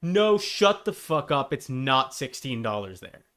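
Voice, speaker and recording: A man's voice: medium pitch, sing-song, unhurried, a bit bright. A man live streaming a game, talking casually and bluntly with exasperated, sweary asides. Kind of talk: casual gaming stream chat. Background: game music.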